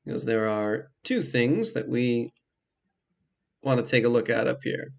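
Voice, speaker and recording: A man giving casual, explanatory let's play commentary, with hesitant pauses as he goes. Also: medium pitch, creaky, highs cut off. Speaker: video game player and commentator.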